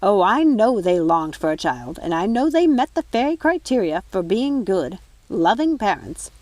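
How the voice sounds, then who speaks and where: Storybook fairy nanny read by a woman: older, earnest, scolding yet pleading, mid-pitched, dry sound. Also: big pitch swings, normal speed, a little mellow, no echo, natural voice. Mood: bitter, regretful.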